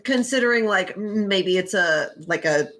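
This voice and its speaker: A woman's voice: medium pitch, animated, moderate pace, fairly bright tone. A woman hosts a casual podcast chat. She talks informally and swears freely, with a giggly manner as she retells and comments on a story. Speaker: podcast host.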